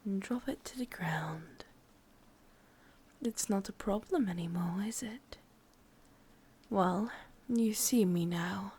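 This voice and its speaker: A woman voicing a sadistic, possessive forest witch in an ASMR roleplay, with an English accent, half-whispering and teasing in drawn-out phrases. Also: medium pitch, a little mellow, slight background noise, lightly acted. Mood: taunting, amused.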